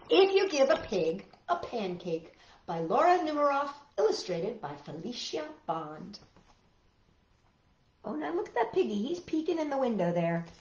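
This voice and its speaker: Animated high-voiced woman reading to children. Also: unhurried, long pauses. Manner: animated, exclaiming, addressing children directly. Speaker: children's storytime host. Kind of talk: read-aloud storytelling for young children with chatty asides. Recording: roomy, dull lo-fi sound, faint music.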